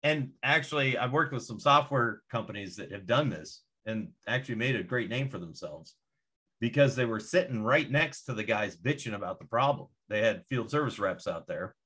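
A man's voice: mid-range voice, animated, normal speed, pushed and bright, husky. A man who grew up in Indonesia with American parents, talking as a podcast guest in casual chat, emphatic and profane, ranting with force.

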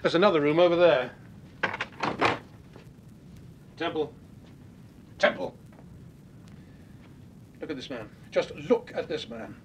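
An actor playing a commanding British police chief in a radio drama, brisk and authoritative. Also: male voice, a little high, lively intonation, slight background noise. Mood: shocked, grave. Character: commanding senior Scotland Yard police chief. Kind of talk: mid-century British radio drama acting.

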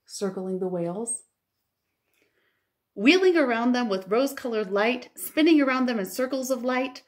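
Expressive woman reading to young children. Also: fairly high pitch. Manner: expressive, full of wonder, drawn-out emphasis. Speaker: children's librarian hosting storytime. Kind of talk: picture-book read-aloud with asides to young children. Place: living room at home, indoors.

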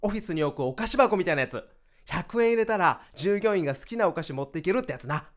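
Excitable, high-voiced startup vice president, male. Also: fast talker. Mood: excited, cheerful. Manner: exuberant, chatty, swinging from cheers to concern. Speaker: radio drama actor. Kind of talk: radio drama acting, casual dialogue.

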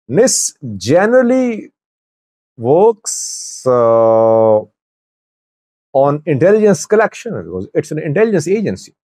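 A man in his forties giving news commentary to camera in a deliberate, halting way, pausing mid-sentence. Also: mid-range voice, a little mellow, no echo. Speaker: news commentator, channel host. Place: small wood-panelled office, indoors.

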